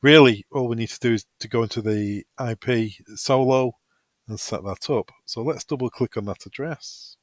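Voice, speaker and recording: Man, mid-pitched voice, dry close recording, informal tutorial delivery, practical, step-by-step instruction. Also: moderate pace, dry recording. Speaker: product tutorial presenter. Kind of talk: step-by-step software walkthrough, semi-improvised.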